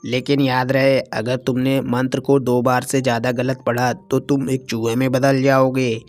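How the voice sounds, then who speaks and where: A man's voice: mid-range voice, level tone, normal speed, faint music behind, lightly acted. A man voicing a kindly magic bird friend in a moral-story cartoon, reassuring and instructive.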